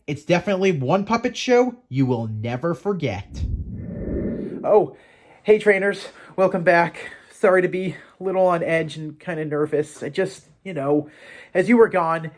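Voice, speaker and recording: A man presenting a scripted fan video series as its host, with a playful, theatrical manner of addressing viewers. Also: a little high. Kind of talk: scripted presenter narration to fans.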